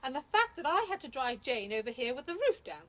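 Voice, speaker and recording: A woman's voice: high voice, very animated, quick pace, fairly bright tone. A woman playing a haughty, proper, bossy middle-aged British chairwoman in an amateur radio comedy. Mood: indignant. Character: prim, bossy older club chairwoman, indignant and dignified. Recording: faint background noise, home recording.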